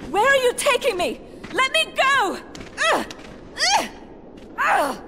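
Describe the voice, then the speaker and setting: A woman's voice: very animated, slow, over loud music, full character voice. A woman playing a defiant, frightened young captive in a video game, struggling as she speaks. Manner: struggling, shrill. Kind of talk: dramatic video game acting. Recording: long hall reverb.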